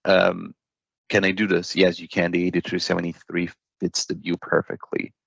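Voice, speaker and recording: A man with a deep voice and a gravelly edge explains technical products as a guest expert. He talks conversationally and step by step, often tagging points with a checking question. The sound is dry and slightly dull. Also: monotone, normal speed, fairly bright tone, no room sound. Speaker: product expert guest from a paging hardware company.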